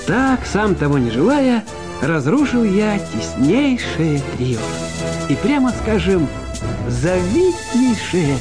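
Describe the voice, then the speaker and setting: A man giving an expressive, theatrical narration of a literary story as an actor. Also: high voice, slow, music in the background. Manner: expressive, dramatic.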